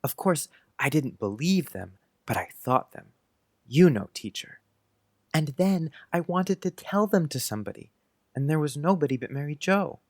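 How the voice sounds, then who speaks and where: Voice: mid-range voice, expressive, normal speed, no room sound, studio quality. An actor playing an earnest, precocious young schoolboy who chats dreamily in quaint grown-up phrases, in a dramatized audiobook. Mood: dreamy, confiding. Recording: music under the voice.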